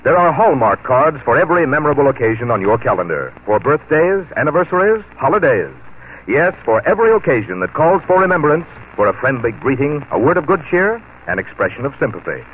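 A man's voice: medium pitch, very animated, fairly quick. A man announcing a 1940s radio commercial with a polished, emphatic delivery. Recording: tinny, noisy vintage radio recording.

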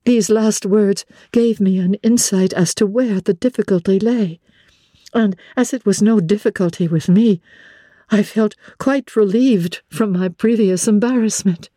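A woman narrating classic literature in a measured, expressive storytelling manner. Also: mid-range voice, no room sound, studio quality.

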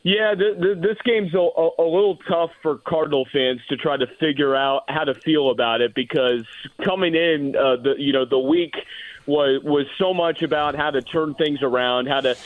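A man calling in as a sports broadcaster, giving conversational game analysis in a halting way, repeating words. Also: a little high, no room sound. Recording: thin phone-line call.

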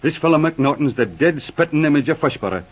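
A husky, folksy city cop. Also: male voice, a little high, lively intonation, brisk. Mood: amazed, excited. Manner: colloquial, folksy. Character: folksy, excitable city policeman, talkative and colloquial. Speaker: actor in a radio serial. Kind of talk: 1940s radio acting. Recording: dull lo-fi vintage radio recording.

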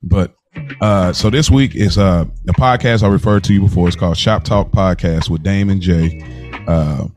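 A man's voice: low-pitched, a little mellow. An American man co-hosting a podcast, talking informally and slangily, as if to a close buddy. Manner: informal, slangy, addresses partner as bro and man. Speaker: podcast co-host. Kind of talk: casual chat.

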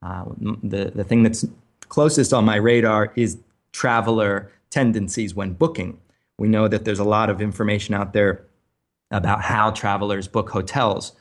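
Male co-host, lowish voice, analytical explainer, frequent ums. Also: steady tone, moderate pace. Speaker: podcast co-host. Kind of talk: casual chat.

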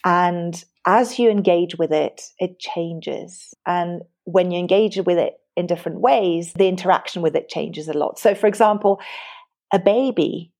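A tired woman in her forties from Greece, talking through her work as an education researcher in a relaxed, thoughtful way. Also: on the low side, unhurried, gravelly. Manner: thoughtful, many fillers and restarts. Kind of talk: conversational interview.

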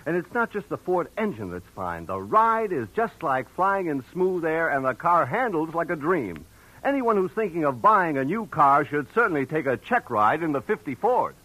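A man's voice: on the high side, sing-song, normal speed, bright, forceful tone, poor audio. A man giving an enthusiastic, earnest testimonial in a scripted radio ad read. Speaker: ad testimonial speaker.

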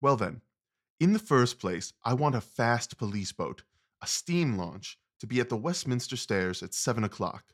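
An actor playing a confident, commanding gentleman detective, wry and precise, in an audio drama. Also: mid-range voice, very animated, normal speed. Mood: commanding, brisk.

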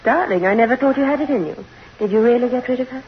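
A woman's voice: a little high, fast, a little hiss or hum, natural voice. A woman playing a cool, mocking mistress in an old radio drama, teasing and sardonic. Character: cool, mocking mistress of a guilty married man. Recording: muffled old radio recording.

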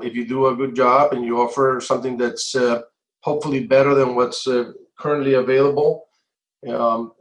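A middle-aged man from Colombia giving measured, halting answers in an interview, pausing often as he explains. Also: medium pitch. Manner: halting, measured, frequent hesitations. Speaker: interview guest, entrepreneur. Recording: echoey, roomy sound.

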